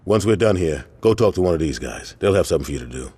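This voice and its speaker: An actor playing a stern, commanding veteran street gang boss with a deep, husky voice in video game cutscenes. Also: male voice, lively intonation, warm tone. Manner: measured, commanding. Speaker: gang leader.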